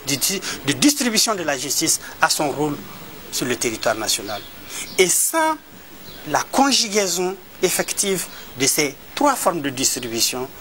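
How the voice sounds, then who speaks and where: A man from Mali, an advocate, giving a measured, explanatory interview answer with frequent hesitations and repeated words. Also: medium pitch, sharp tone, a little hiss or hum. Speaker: human rights advocate.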